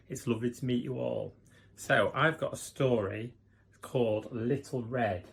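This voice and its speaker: A man's voice: mid-range voice, vocal fry, a bit bright, slight background noise. A man who works as a primary school teacher, reading a children's story expressively and addressing young listeners directly.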